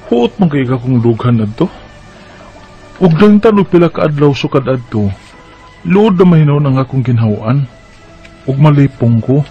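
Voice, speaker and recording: A man's voice: mid-range voice, slightly rough, lo-fi sound. A man with a Bisaya Filipino accent playing an ailing, puzzled young farm son in a radio drama.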